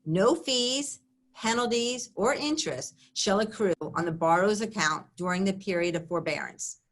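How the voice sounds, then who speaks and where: A woman presents at a webinar, reading her prepared remarks. Her delivery is measured and even, and it drops at the ends of sentences. Her voice sits at a middle pitch.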